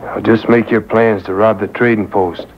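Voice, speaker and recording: A man's voice: fairly low pitch, steady tone, moderate pace, lightly acted. A man acting in an old-time radio drama as a confident, scheming outlaw, on a muffled, noisy taped broadcast.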